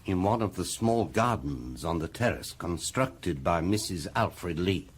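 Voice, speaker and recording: An actor with a French-speaking Belgian accent playing a courtly, vain, shrewd detective with theatrical, formal phrasing in a radio play. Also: male voice, low-pitched, lively intonation, a little slow, husky, exaggerated voice. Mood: explaining. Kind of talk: British radio drama acting.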